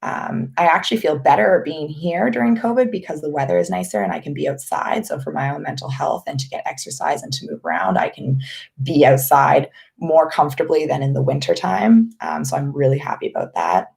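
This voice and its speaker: Low raspy gravelly woman, chatty. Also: a little fast. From Canada. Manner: relaxed, rambling, with many ums and restarts. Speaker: vlogger hosting a live Q&A. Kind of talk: casual live-stream chat reading viewer questions.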